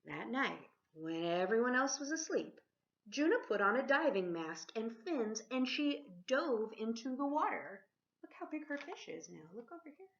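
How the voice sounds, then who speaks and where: Female storybook reader, teacherly and animated, engages listeners with questions; lively pitch, slightly muffled lo-fi recording. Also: normal speed, creaky. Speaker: teacher reading a picture book aloud. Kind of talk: expressive children's storybook read-aloud. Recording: dull lo-fi sound.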